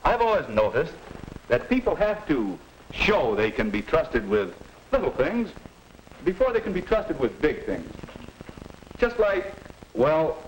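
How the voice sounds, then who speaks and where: A man's voice: on the high side, big pitch swings, a little slow, pauses often. A middle-aged man playing a patient, kindly father who explains things didactically in a 1950 instructional film. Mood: patient, reflective. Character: patient, kindly father guiding his son. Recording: noisy old 1950 film soundtrack.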